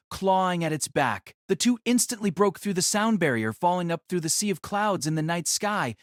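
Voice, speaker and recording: A man's voice: a little high, no echo, clean studio sound. A man giving solemn, theatrical scripted narration of a fantasy novel.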